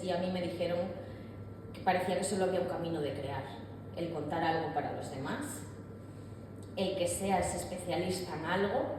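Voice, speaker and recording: A woman giving a candid, reflective public talk, often pausing and restarting her sentences. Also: lowish voice. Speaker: artist speaker. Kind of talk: public talk on mental health. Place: large echoey hall. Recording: echoey hall with long reverb, audible room noise.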